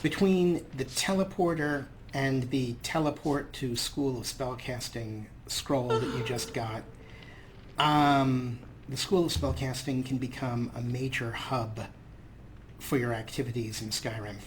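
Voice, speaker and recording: A man with a medium-pitched voice hosts a game playthrough. He talks casually and playfully, slipping into in-character replies, with faint noise behind him. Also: unhurried, poor audio. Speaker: Let's Play host.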